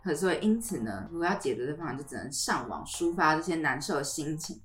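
A woman's voice: deep voice, expressive, fast talker, faint music behind. A young adult woman co-hosting a casual podcast, explaining things in an agreeable back-and-forth way. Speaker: podcast co-host. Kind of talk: casual conversational podcast chat. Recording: roomy echo on the voice.

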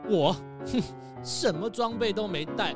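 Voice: medium pitch, a little slow, music in the background, close to an everyday voice. A voice actor playing an irritable, self-centred young fox guide who argues and complains in a children's audio drama. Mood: indignant complaining. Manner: indignant, argumentative, complaining.

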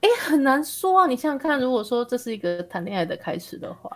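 A woman's voice: fairly high pitch, very animated, fast, a bit bright. A woman with a Taiwanese Mandarin accent in casual podcast chat, speaking in an eager, exclamatory, colloquial way.

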